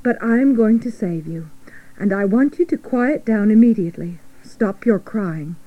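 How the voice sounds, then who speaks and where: A woman voicing a calm, no-nonsense female spider in a children's storybook, firm and composed. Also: medium pitch, measured pace, phone-quality sound. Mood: firm, reassuring.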